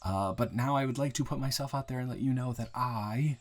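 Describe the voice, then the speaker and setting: A man playing an earnest, grateful young man shyly confessing love to a friend in an intimate, halting, improvised audio roleplay. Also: medium pitch, close to an everyday voice. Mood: nervous, earnest. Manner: halting, rambling, earnest, breaking into soft laughs.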